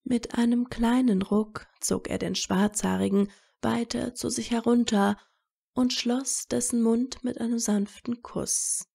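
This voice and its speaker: A woman narrates a romantic story in a measured way. Her voice is mellow and relaxed with some creak, and she takes her time over each phrase. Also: on the high side. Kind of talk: scripted story narration of a romantic fan fiction.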